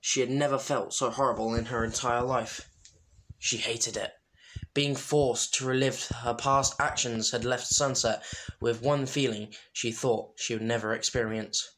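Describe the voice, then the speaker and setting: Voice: mid-range voice, fairly even intonation, unhurried, a bit bright. An amateur reader narrating a fan fiction story in a noisy home recording with clicks. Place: at home, late at night.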